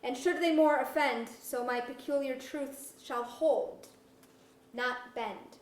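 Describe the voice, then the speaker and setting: A woman's voice: high voice, fairly even intonation, takes it slowly. A woman playing a principled, unbending monarch in an archaic verse drama, formal and declamatory. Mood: resolute musing. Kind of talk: staged reading of pseudo-Elizabethan verse drama. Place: museum auditorium, live reading. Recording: echoey auditorium.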